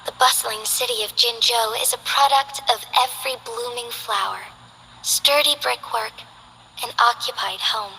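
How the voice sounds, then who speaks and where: A woman voicing a formal, earnest young female city magistrate in game character voice lines, speaking politely and with measured care. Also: a little high, gravelly, bright, forceful tone, faint music behind, heavily acted, low-quality recording. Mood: gracious.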